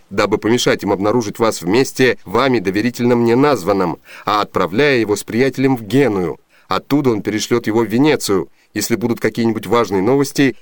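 Brief: male actor as earnest lovestruck gentleman for radio comedy, mid-range voice, imperious, declamatory, close dry studio sound. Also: medium pitch, no echo, natural voice. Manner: imperious, declamatory, crisp orders. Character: impatient, lovestruck gentleman master, commanding and earnest. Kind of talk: theatrical 1980s Soviet radio drama acting.

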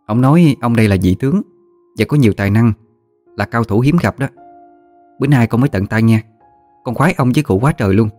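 A man's voice: medium pitch, quick pace, pauses often, darkish tone, pitched above the performer's own voice, lightly acted. A man voicing an admiring, talkative young woman with a Southern Vietnamese accent, respectfully advising her elders in a story. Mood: excited admiration. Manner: eager, chatty, respectful. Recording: music behind the voice.

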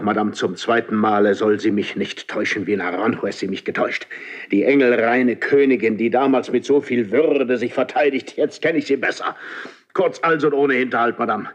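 A man acting a stern, suspicious king in a classical radio drama. His husky, rough-edged voice sits at a middle pitch and delivers formal verse with command and menace. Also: natural voice. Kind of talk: 1970s German radio play acting.

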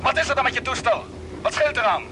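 An actor playing a determined space expedition leader, urgent and insistent, in a radio play. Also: male voice, very high voice, lively intonation, fast. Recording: noisy, vehicle engine drone behind.

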